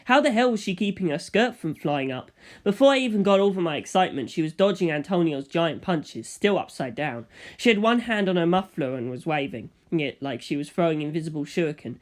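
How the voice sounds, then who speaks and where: A man narrating a first-person story as a scripted audiobook, reading in a dramatic, expressive way. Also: fairly high pitch, fairly quick.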